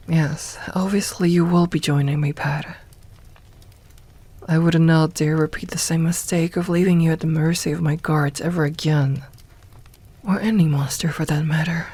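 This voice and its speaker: A woman playing a doting, teasing evil lamia queen in an ASMR roleplay, soft-spoken and unhurried, with formal phrasing and near-whispered murmurs. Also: low-pitched. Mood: composed, commanding. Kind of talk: ASMR roleplay, intimate personal attention.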